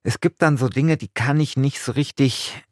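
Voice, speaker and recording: A man's voice: mid-range voice, normal speed, dry recording, clean studio sound. A man speaking as a podcast co-host, moving between casual chat and scripted narration.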